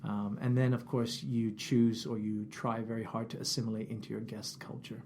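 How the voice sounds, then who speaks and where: A man from England with a Canadian accent, a guest talking about his life in a relaxed interview with many fillers. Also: fairly low pitch, normal speed, a little hiss or hum. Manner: reflective, many fillers and restarts. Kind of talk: casual interview. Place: office.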